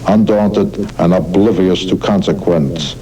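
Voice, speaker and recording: Deep, grandiose male narrator. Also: measured pace, mellow. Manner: grandiose, formal. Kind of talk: mock-solemn dramatic storytelling narration. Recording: noisy worn radio cassette.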